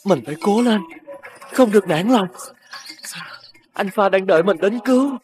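A woman voicing an earnest, determined young boy in an animated fairy tale, with an expressive delivery. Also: mid-range voice, moderate pace, faint music behind, lightly acted.

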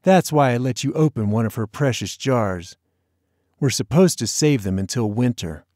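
Storybook role: mischievous bossy boy, played by a man, raised lively pitch, taunting delivery. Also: moderate pace, no echo, clean studio sound, close to an everyday voice. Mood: teasing. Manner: mock-dramatic, taunting. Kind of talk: audiobook character dialogue.

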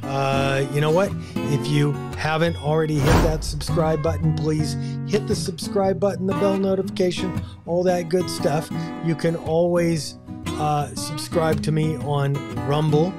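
American man, fifties, slow unhurried pace, slightly high pitch, informal video host, guitar music behind. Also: little pitch movement. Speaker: ham radio video host. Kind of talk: casual explainer talk to camera. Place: small home radio room, indoors. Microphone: desk broadcast microphone on boom arm.

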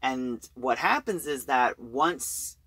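A man giving an informal story recap as a reviewer, talking in a halting, conversational way. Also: medium pitch, animated, pushed and bright, husky, no room sound. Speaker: audio drama reviewer.

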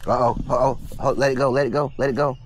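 A man's voice: mid-range voice, fairly even intonation, quick pace, slight background noise. A man in his forties, a father filming a casual vlog and coaching his young son while fishing by a pond. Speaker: father and vlogger. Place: outdoors by a pond.

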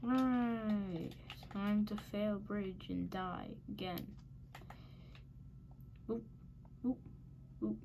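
A woman giving casual commentary while playing a game, muttering and mocking herself in frustration, a little raspy at times. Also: mid-range voice, drawn-out words, pauses often, warm tone, a little hiss or hum. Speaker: gaming channel host.

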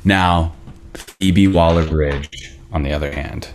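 A man's voice: low-pitched, steady tone, slow, slight background noise. A man in his thirties acting a laid-back, wry but defensive boyfriend in a naturalistic table read on a video call.

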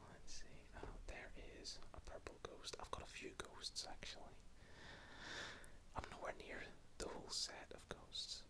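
Northern irish guy whispering asmr close up, rambly and chatty, mic pops now and then. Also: a little slow. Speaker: ASMR creator. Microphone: close mic with wind pops.

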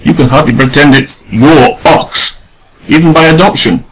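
Guy doing a dry sarcastic artist, unbothered, slightly husky, easy pace. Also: a little high, low-quality recording. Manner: cool, unhurried, mock-reasonable. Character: calm, dry, sardonic male painter.

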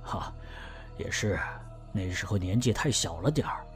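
A knowing family elder played by a man recounts an old family legend in a fan audio drama. His voice sits somewhat low and he tells it in an even, measured way. Soft music plays behind him. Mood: explaining. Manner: explanatory, storytelling, measured.

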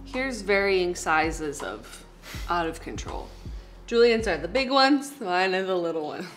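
Young woman, bright lively voice, laughing, teasing, music behind. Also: fairly low pitch, unhurried. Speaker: vlogger cooking at home. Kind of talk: casual banter while cooking. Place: home kitchen, indoors. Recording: roomy kitchen with music behind.

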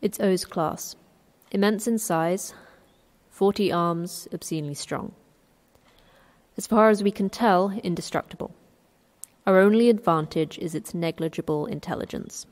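Casting: woman as stern female manager of a secret facility, lowish voice, curt, commanding, horror podcast. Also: measured pace, darkish tone, lightly acted. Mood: grave. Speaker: actor in sci-fi horror audio drama. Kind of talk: audio drama acting.

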